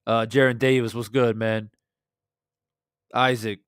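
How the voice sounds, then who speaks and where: A man in his thirties with a hoarse voice, hosting a casual boxing livestream from a small room at home, shouting out viewers in the chat. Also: medium pitch, level tone, measured pace, no room sound, clean studio sound. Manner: laid-back, shouting out chat viewers, swears freely. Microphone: studio desk mic with headphones.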